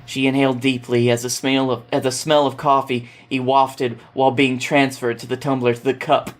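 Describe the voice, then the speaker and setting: A man with a medium-pitched voice speaks as a guest on a podcast. His delivery is casual, suited to both chatting and reading a story aloud. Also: little pitch movement, moderate pace.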